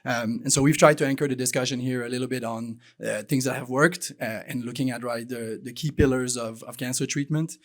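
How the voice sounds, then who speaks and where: A man's voice: medium pitch, fairly even intonation, fairly quick, few pauses. A man moderating a business panel, posing questions with many restarts. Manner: hesitant, repeats words. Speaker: panel co-chair.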